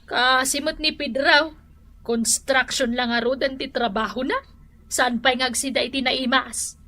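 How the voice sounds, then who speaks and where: A nosy, opinionated older neighbor in a radio drama, with a high, bright voice. She butts in with her opinions. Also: steady tone, unhurried, slight background noise.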